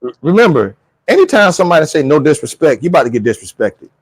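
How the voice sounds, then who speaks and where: A man's voice: fairly high pitch, big pitch swings, fast, slightly rough, no echo. A man co-hosting a casual panel talk, informal and emphatic, fond of throwing out rhetorical questions. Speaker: talk show co-host. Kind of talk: casual, animated panel chat.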